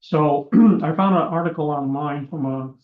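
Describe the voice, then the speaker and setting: A man runs a town commission meeting, his voice slightly higher than most and going hoarse. He speaks haltingly and fills his pauses with "uh" and "um". The room is echoey, and the recording sounds dull and lo-fi. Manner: halting, many fillers. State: losing his voice. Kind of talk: meeting proceedings.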